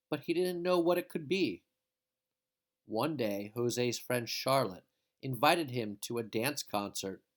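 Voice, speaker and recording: A man reading a children's picture book aloud in an expressive, dramatic storytelling style. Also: medium pitch. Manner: dramatic, with emphatic exclamations.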